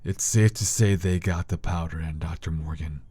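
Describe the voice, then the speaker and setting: Deep, grave man playing a scholarly investigator. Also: normal speed, warm tone, a little hiss or hum, lightly acted. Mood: urgent, dismissive. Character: grave, decisive scholar investigating an occult mystery. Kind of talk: audio drama acting.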